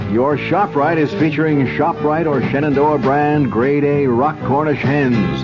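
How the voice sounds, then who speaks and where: A man reading a 1970s grocery radio commercial in a brisk price-list manner, on a dull vintage recording. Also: medium pitch. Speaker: commercial announcer. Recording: dull lo-fi radio recording with loud jingle music.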